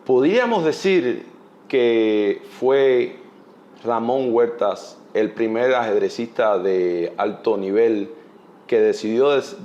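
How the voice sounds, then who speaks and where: A man interviewing a guest, asking formal questions in a slow, deliberate way. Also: on the low side, darkish tone, lo-fi sound.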